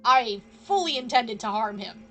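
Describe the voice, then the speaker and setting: A woman's voice: fairly high pitch, animated, moderate pace. A woman streaming a game with casual live commentary, snarkily talking back to the characters. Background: soft game music.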